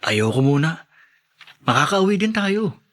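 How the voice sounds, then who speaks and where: Hopeful young worker, higher-pitched voice. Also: male voice, very animated, a little slow, fairly bright tone. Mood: reassuring, wistful. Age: young adult. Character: patient, hopeful young restaurant worker and friend. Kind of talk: Filipino radio drama acting.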